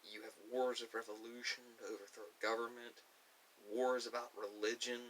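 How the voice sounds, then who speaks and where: An American man giving a rambling monologue to camera as a video host, often pausing mid-sentence in a halting way. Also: mid-range voice, flat delivery, fairly bright tone. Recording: hissy, distant microphone.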